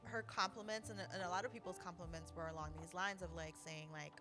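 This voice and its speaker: Woman co-host, slightly low voice, effusive and affirming, thinks aloud and rephrases, music under the talk. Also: fairly even intonation, quick pace. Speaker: podcast co-host. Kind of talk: casual, reflective conversation.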